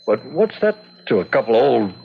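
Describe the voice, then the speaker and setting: An actor playing a wry, easygoing middle-aged war buddy in an old-time radio drama. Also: male voice, medium pitch, sing-song, brisk, gravelly. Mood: wry, amused. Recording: tinny, noisy old radio broadcast.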